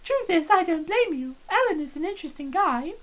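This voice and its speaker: A woman reading a visual novel's dialogue aloud in a clear, measured way. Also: extremely high pitch, very animated, fairly bright tone. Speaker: game streamer.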